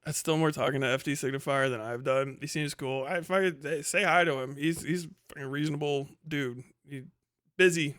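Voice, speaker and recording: A man's voice: on the high side, fairly quick, fairly bright tone. A man hosting a casual, unscripted livestream commentary, rambling along in slangy, profanity-laced talk. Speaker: livestream host.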